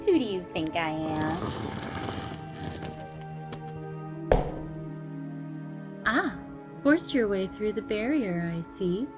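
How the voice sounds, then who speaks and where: A woman's voice: mid-range voice, sing-song, unhurried. A woman playing a bored, haughty vampire lady in a radio drama, languid and condescending. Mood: amused, haughty. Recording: loud music behind the voice.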